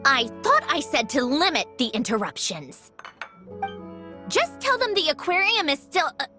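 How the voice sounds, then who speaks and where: A woman's voice: sits high, very animated, normal speed, sharp tone, exaggerated voice. A woman voicing a haughty, prickly mechanical genius in a video game, imperious and sardonic in manner. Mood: irritated, curt. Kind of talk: video game character acting. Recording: music playing behind the voice.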